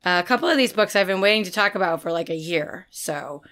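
A woman hosting a book podcast, hoarse from a lost voice, chatting eagerly and laughing often. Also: lowish voice, expressive, brisk, a bit bright. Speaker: podcast host. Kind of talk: casual chat about new books.

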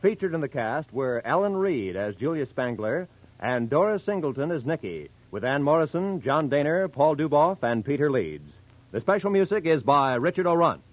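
A man's voice: a little high, big pitch swings, normal speed, dry recording. An American man announcing formally, with some showmanship, in scripted 1940s radio style.

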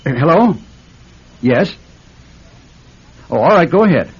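A man's voice: fairly high pitch, lively intonation, takes it slowly, breathy edge, exaggerated voice. An actor playing an anxious, stammering husband in debt and caught in his own scheme, in a classic radio drama. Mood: flustered. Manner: flustered, stammering. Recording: dull, noisy old radio recording.

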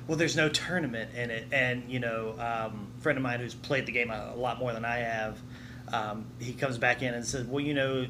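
A man's voice: medium pitch, fairly even intonation, moderate pace, pushed and bright. A man chatting casually as a panelist on a podcast. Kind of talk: casual chat. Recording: noticeable background noise.

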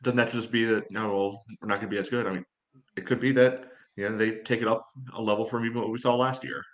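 A man co-hosting a casual sports podcast, with halting, filler-heavy phrasing. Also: on the low side, level tone. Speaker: podcast host.

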